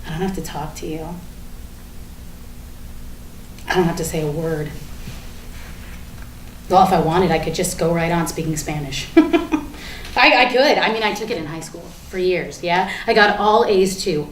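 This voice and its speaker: A woman playing a defiant, foul-mouthed suspect under questioning in a staged monologue, rambling in a chatty, sarcastic, halting way. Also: on the low side, distant mic, natural voice. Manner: chatty, sarcastic, halting, breaks into nervous laughs. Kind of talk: dramatic monologue in a staged reading. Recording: echoey room with faint background noise.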